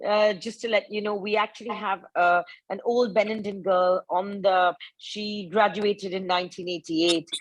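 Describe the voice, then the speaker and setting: A woman's voice: medium pitch, fairly even intonation, moderate pace, few pauses, low-quality recording. A woman from India co-hosting a webinar panel, affirming and effusive as she responds to the speakers and brings them in. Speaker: webinar co-host.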